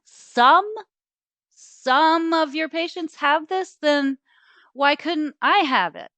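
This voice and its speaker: A woman's voice: high-pitched, slow, pushed and bright, dry recording, pitched above the performer's own voice, exaggerated voice. A woman voicing an earnest, insistent young girl in a storybook, questioning and pleading. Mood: pressing, pleading. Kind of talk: storybook character voice.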